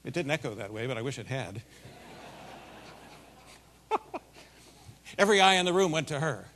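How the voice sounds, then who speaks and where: A middle-aged man giving a keynote speech, telling a folksy, animated story in a big voice. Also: medium pitch, moderate pace, slight background noise. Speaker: keynote speaker. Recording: long hall reverb.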